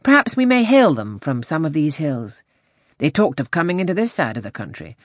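A woman reading a classic novel, voicing a genial, teasing gentleman naval captain in a hearty, animated manner. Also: sits low, a little fast, dry recording, clean studio sound. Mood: cheerful, teasing. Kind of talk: classic novel audiobook character voice.

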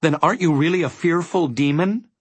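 A man plays an earnest storybook boy with a raised, youthful pitch. He speaks meekly and politely, pleading his case in a dry, close sound. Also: fairly quick, slightly rough, no room sound. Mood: desperate, pleading. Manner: meek, pleading. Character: polite, earnest, quick-thinking young boy traveler.

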